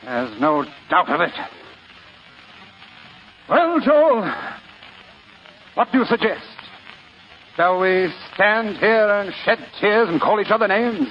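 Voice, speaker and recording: An actor playing a suave, pompous older crook in a 1940s radio drama, with florid, courtly phrasing. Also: male voice, sits high, sing-song, slow pace, pauses often, soft background music, exaggerated voice. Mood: smooth. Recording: dull old radio recording with faint hiss.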